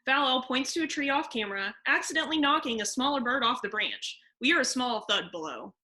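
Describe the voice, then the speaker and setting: A woman narrates a script, reading scene headings and stage directions. Her voice is fairly high and bright, in a plain table-read delivery. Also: animated, brisk.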